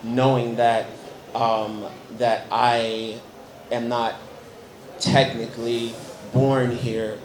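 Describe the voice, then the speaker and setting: A young American man from Chicago with a slightly hoarse voice, earnestly telling his story at a press event, with frequent ums. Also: medium pitch, level tone, extremely slow, echoey room, slight background noise, rough recording. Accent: Chicago American English. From Chicago, United States. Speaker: guest musician.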